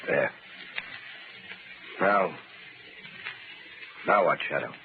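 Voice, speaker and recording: An actor playing a surly, cornered gangster killer with gruff tough-guy talk, in 1930s radio style. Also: low-pitched, extremely slow. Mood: sullen. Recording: noisy, muffled 1930s radio recording.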